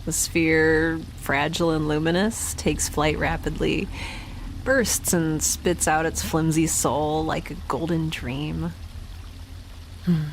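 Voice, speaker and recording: A woman's voice: sits low, fairly even intonation, slow pace, a little hiss or hum, low-quality recording. A woman playing a weary, rambling space scientist in an audio drama, with a hesitant, stammering, wry delivery. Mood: dreamy. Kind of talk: naturalistic audio drama acting.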